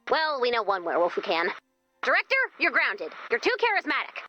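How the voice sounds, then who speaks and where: A woman's voice: fairly high pitch, huge pitch swings, brisk, bright, forceful tone, no echo, muffled recording, soft background music, heavily acted. A woman playing a peppy, encouraging young mission leader in a video game, chatty and coaxing. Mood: planning. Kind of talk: video game character voice acting.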